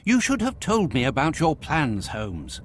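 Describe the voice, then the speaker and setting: A man playing an excitable, loyal and proper Victorian gentleman in a video game, formal and indignant in his delivery. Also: on the high side, a little slow, slight background noise. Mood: startled concern. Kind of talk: video game dramatic acting.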